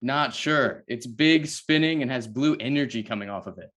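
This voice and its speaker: Man as a casual mid-pitched superhero teammate. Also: normal speed, fairly bright tone, lightly acted. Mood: puzzled. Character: casual young male electricity-wielding superhero teammate. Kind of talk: table read of an amateur superhero script.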